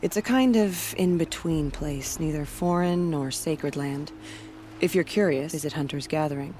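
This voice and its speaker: An actress playing a proud female warrior who guards a border village, speaking in a solemn, steady and devout way. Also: sits low, normal speed, a little hiss or hum, natural voice.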